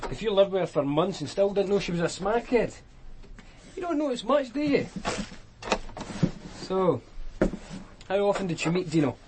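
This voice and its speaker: A man's voice: high-pitched, lively intonation, normal speed, long pauses. An actor playing a hard, sceptical male police detective, questioning bluntly in a radio drama. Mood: probing. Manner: blunt, sardonic. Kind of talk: naturalistic radio drama acting. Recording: very noisy radio drama track.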